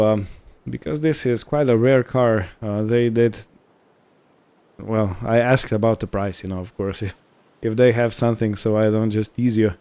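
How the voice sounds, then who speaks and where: Male game roleplay host, mellow and fairly low, casual improvised narration delivered in short halting phrases. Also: smooth. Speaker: host of a roleplay gaming series.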